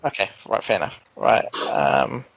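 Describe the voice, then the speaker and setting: A man's voice: normal speed, no echo. A man on a casual podcast playing a quiz, swearing and muttering to himself as he thinks. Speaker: podcast co-host, quiz contestant. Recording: dry, dull lo-fi podcast audio.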